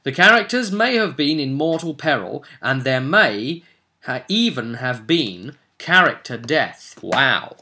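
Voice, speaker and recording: Bright-voiced man explaining game rules emphatically. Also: mid-range voice, very animated, measured pace. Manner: emphatic, opinionated, conversational. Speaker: host of a tabletop role-play tutorial series. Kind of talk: informal explanatory monologue with read-out rules.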